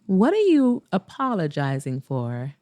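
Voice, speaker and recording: Woman acting a guarded, mellow-voiced club boss. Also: low voice, huge pitch swings, unhurried, no room sound, full character voice. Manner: dry, reluctant. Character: guarded, blunt young woman running a jazz club.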